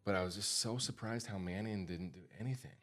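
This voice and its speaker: A man's voice: lowish voice, brisk, fairly bright tone. An American man hosting a podcast as a financial advisor, talking informally and repeating points for emphasis. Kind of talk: casual podcast talk.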